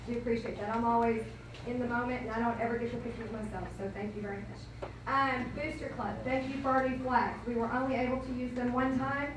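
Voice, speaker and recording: A hoarse woman coach rambles through thank-yous, correcting herself as she goes. Her slightly high voice is picked up from far off in a very echoey hall with steady background noise. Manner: rambling, self-correcting, chatty. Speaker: cheer coach. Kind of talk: banquet thank-you speech. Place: school banquet room, indoors.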